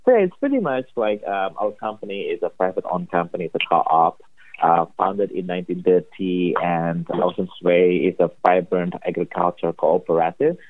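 A man's voice: low-pitched, no room sound. A man from Indonesia with an Indonesian accent, a company executive answering interview questions earnestly with many fillers. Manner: earnest, filler-heavy, upbeat. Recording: tinny phone-line audio.

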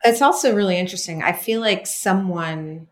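A woman's voice: fairly low pitch, normal speed, a little hiss or hum. A woman hosting a podcast interview, chatty and rambling with lots of fillers. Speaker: podcast host. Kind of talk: casual interview chat.

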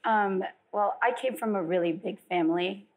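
A woman's voice: mid-range voice, normal speed, poor audio. A woman chef from the Philippines, talking in a loose, rambling way with lots of fillers on a live panel. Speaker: executive chef. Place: event venue with audience.

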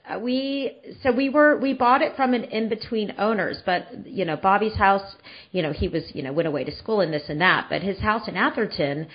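A woman's voice: mid-range voice, moderate pace, muffled recording. A woman in her forties from the San Francisco Bay Area, hosting a podcast in a loose, rambling chat full of fillers and restarts. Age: 40s. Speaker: podcast host.

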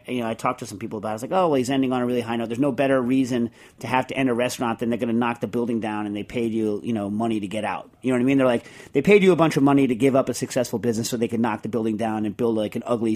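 A man's voice: mid-range voice, very fast, darkish tone. A middle-aged man hosting a podcast, chatting casually and explaining technical points step by step with many fillers and restarts. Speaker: podcast host.

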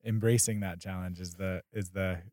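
A man's voice: low voice, steady tone, moderate pace, darkish tone, no room sound, studio quality. A man hosting a casual interview, phrasing things tentatively and often murmuring agreement. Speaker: podcast host, interviewer.

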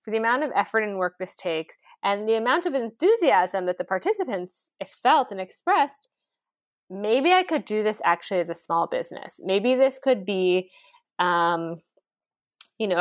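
A woman speaking in an animated, conversational way, full of "like" and "um," as she explains how she built her cooking classes. The sound is dry and close, with no room echo at all. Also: mid-range voice. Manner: animated, lively storytelling with many fillers. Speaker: founder of a cooking school, guest. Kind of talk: conversational interview.

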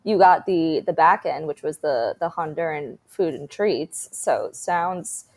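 A woman's voice: deep voice, unhurried, a little mellow. A woman hosting a casual interview, asking loosely phrased questions in an informal, affirming way. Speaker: host and interviewer.

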